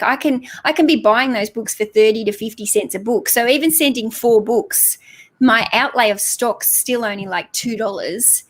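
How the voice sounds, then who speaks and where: A woman with an Australian accent, a guest chatting casually on a video call, explaining things step by step with lots of fillers. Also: fairly high pitch. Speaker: guest on a reselling live chat. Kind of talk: casual chat.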